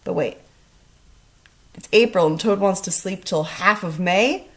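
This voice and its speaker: A woman teacher reading a storybook aloud to children, expressive and instructional, asking questions as she goes. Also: fairly low pitch, measured pace. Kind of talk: storybook read-aloud with questions to young listeners.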